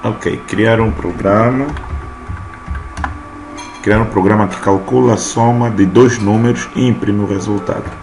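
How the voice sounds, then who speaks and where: A man's voice: lowish voice, fairly even intonation, measured pace. A man in his thirties teaching programming while typing code on screen, often checking in with "tá?". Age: 30s. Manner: talks while typing, frequent "tá?" check-ins. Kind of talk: screen-recorded coding lesson. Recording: noisy room ambience.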